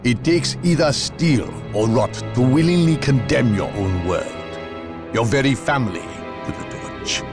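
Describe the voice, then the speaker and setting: A man's voice: medium pitch, lively intonation, music in the background, close to an everyday voice. Man playing a solemn, formal inquisitor. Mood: grave, contrite. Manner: formal, deliberate. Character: solemn male inquisitor, severe, measured and suspicious. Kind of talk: video game cutscene acting.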